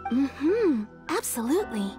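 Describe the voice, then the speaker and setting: A woman playing a courteous kimono tailor in a video game, businesslike and deferential, explaining in measured phrases. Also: high voice, big pitch swings. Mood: pleased. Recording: music playing behind the voice.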